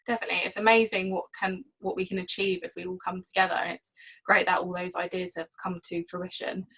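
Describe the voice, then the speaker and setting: A woman's voice: lowish voice, steady tone, fast talker, gravelly, a bit bright, breathy edge, poor audio. A woman working as a reporter, hosting an interview over a conference call. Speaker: interviewer, trade magazine reporter. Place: remote video call.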